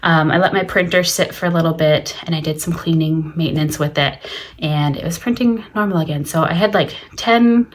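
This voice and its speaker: A woman's voice: sits low, brisk. A young woman with a slightly stuffy nose, chatting casually about her small craft business in a home studio vlog. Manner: chatty, friendly, lots of "so" and "like". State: a little stuffy from allergies. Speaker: small business owner vlogger.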